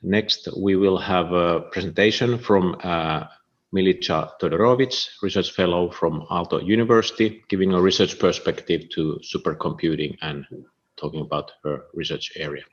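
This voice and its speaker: A man introducing the next speaker at an online event, formal and measured. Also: deep voice. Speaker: event host. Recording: muffled lo-fi audio.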